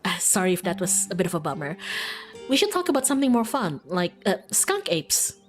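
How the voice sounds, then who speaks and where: A woman's voice: on the low side, sing-song, brisk, faint music behind. A woman voicing an upbeat, chatty small-town young woman from a visual novel, breezy and rambling. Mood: jaunty, chatty.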